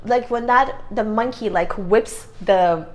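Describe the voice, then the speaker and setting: A woman's voice: medium pitch, takes it slowly. A woman vlogger giving a casual, earnest self-help talk to camera, rambling and correcting herself as she goes, on a clip-on microphone.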